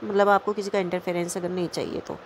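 A woman's voice: on the low side, level tone, fast talker, slight background noise. A young woman with a North Indian accent gives a casual, chatty vlog voice-over, mixing in English words and often checking in with the listener. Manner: mixes English words and phrases into speech. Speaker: vlogger.

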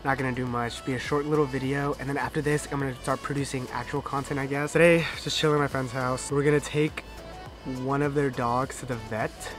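A man's voice: medium pitch, steady tone, fairly quick. A man, chatting casually to camera in an upbeat, slangy way as a vlogger. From Thailand and America. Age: 20s. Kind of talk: casual vlog chat to camera. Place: outdoor garden patio with sofa. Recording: music behind the voice. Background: background music.

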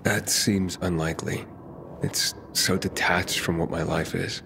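An actor playing a guarded but kind male survivor in a video game, terse and understated, with a gravelly voice. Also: low-pitched, fairly even intonation, unhurried, noisy background. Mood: earnest, reassuring. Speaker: game protagonist. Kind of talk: video game cutscene dialogue.

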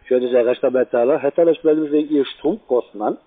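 A man with grammar makes an insistent cold-call pitch. He talks quickly at a medium pitch, and the line is tinny and narrow like a telephone. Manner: pushy, insistent, halting grammar. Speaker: call center electricity salesman. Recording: tinny, band-limited phone line.

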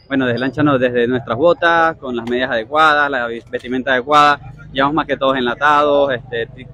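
A young man from Venezuela answering a reporter's questions outdoors at a busy dock, polite and hesitant, pausing and restarting often. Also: mid-range voice, bright, forceful tone. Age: young adult. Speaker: interviewee, migrant. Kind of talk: street interview answers. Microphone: reporter's handheld mic.

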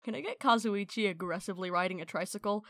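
A woman's voice: mid-range voice, fast talker, loud music behind the voice. A woman reading fan messages aloud in a casual style for a comic dub.

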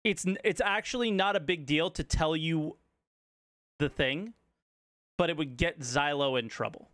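A man's voice: fairly high pitch, a little slow, fairly bright tone. A man giving casual, conversational reaction commentary as a streamer, musing as he goes.

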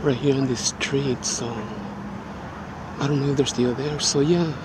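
A man's voice: medium pitch, fairly even intonation, unhurried. A man filming outdoors at night, talking casually and hesitantly with many fillers as he narrates what he sees. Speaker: camera-filming auditor. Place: residential street at night, outdoors. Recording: loud traffic noise outdoors.

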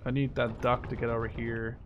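A man in his twenties giving casual, sweary gameplay commentary, thinking out loud as he plays. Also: mid-range voice, level tone, brisk, muffled recording, noisy background. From Netherlands. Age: 20s. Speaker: video game streamer. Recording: gunfire and explosions from game behind. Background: war game artillery and gunfire.

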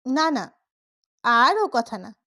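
Lady doing a worried caring doctor guy, coaxing, high voice, audiobook. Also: very animated, drawn-out words, bright, forceful tone, heavily acted. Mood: soothing. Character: anxious, caring male doctor friend.

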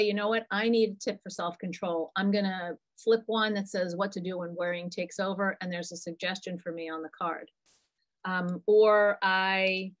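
A middle-aged woman, a psychologist, answering parents' questions in a lively, chatty way on a video call from a small room. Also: medium pitch. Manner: talkative, animated, answers questions from the chat. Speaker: psychologist presenting a parent webinar. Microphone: computer mic on a video call.

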